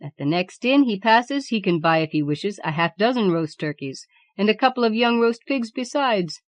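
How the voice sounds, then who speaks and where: A woman's voice: mid-range voice, expressive, moderate pace, no room sound, voice pushed up, lightly acted. A woman voicing a shrewd peasant man in a storybook, folksy and self-assured. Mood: defiant, assured. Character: plain-spoken, confident peasant man, shrewd.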